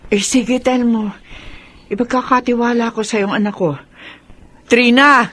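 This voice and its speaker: A woman's voice: on the high side, fairly even intonation, unhurried, fairly bright tone. A woman playing a worried, trusting middle-aged mother in a radio drama. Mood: urgent.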